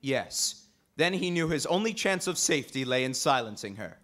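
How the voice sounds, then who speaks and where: A man acting a composed amateur detective in an old-time radio drama, measured and explanatory. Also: mid-range voice, very animated, fairly bright tone. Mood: assured, serious. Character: composed male amateur detective, assured and reasoning.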